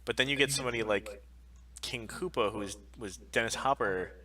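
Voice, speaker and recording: A man with a fairly low voice chats casually as a stream co-host. He rambles through his opinions with plenty of emphasis, and there is clear crowd sound behind him. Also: brisk, low-quality recording. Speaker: co-host of a weekly pop culture stream. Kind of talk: casual chat, opinionated banter.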